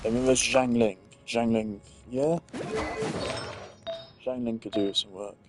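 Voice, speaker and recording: A man chats casually as he plays, thinking aloud in a rambling way. His voice sits at an average pitch, with faint music playing underneath. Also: steady tone, slow. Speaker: gamer. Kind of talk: casual gameplay commentary.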